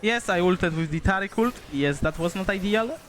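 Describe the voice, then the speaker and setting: A man's voice: high voice, lively intonation, normal speed, a bit bright, slight background noise. A young man from Poland, a streamer giving casual, laid-back gameplay commentary, chatting and swearing now and then.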